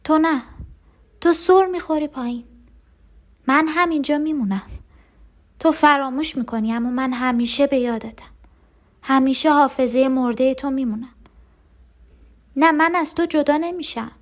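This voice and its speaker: An actress playing a frightened, tender and clinging twin sister in an emotional audio drama monologue. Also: female voice, sits high, long pauses, lightly acted. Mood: distressed, insistent. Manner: intimate, emotional, pleading.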